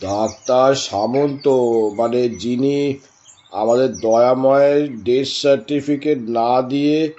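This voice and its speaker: A man playing a grave, weary, brooding detective in an audio drama, with measured dramatic delivery. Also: mid-range voice, mellow, natural voice. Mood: grave, ominous. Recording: dull, muffled lo-fi recording.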